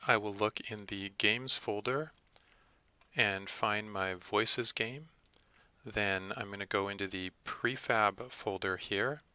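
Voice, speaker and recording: Man, lowish pitch, very sharp bright tone, methodical step-by-step instruction, screencast narration, close microphone. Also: clear, resonant tone, no echo. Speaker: software tutorial presenter.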